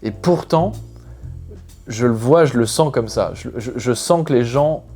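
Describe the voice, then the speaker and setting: A man from France talks casually to his viewers in a chatty, unscripted way, thinking out loud as he goes. His voice sits at an everyday mid pitch. Soft music plays quietly behind him. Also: measured pace. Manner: chatty, thinking aloud, loosely rambling. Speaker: expat vlogger. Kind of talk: casual explanatory vlog.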